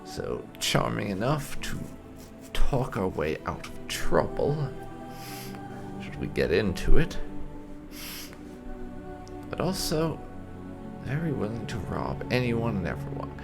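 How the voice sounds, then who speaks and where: A deep-voiced man hosts a game playthrough in a chatty, explanatory way. His pitch swings widely and a gravelly creak runs through his voice. Music plays clearly behind him. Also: huge pitch swings, takes it slowly, long pauses. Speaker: gaming video host. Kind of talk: casual game playthrough commentary.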